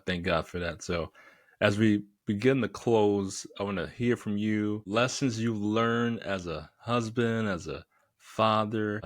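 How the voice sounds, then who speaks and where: A low-voiced man hosts a relaxed interview and answers his guest with short affirmations. The recording is dry and close, with little sense of the room. He speaks briefly before he asks his next question. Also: dry recording. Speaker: podcast host. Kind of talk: casual interview.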